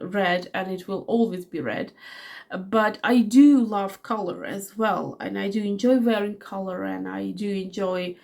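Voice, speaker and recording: A woman's voice: medium pitch, gravelly. Chatty woman in her forties with a cold. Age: 40s. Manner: chatty, rambling with many fillers and restarts. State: has a cold. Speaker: fragrance reviewer. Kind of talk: casual product review vlog.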